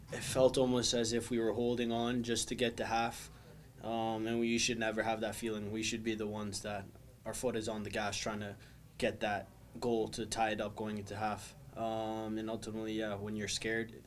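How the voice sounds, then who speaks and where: Young male soccer player, twenties, low monotone, hesitant but thoughtful, quiet room with slight noise. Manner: measured, thoughtful, many ums and restarts. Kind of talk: post-match press interview. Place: small indoor press room, backdrop wall.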